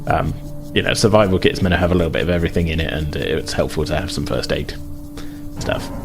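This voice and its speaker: A man's voice: extremely low pitch, quick pace, vocal fry. A man narrating an improvised tabletop game as game master, explaining rules and scenes in a tentative, meandering way. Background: music under the voice.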